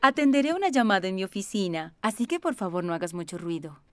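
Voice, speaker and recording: A woman playing a busy, gentle young mother in a children's cartoon dub, with a soothing manner. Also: medium pitch, lively intonation, fast, over music, natural voice. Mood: kind, firm. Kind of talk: animated children's series dub.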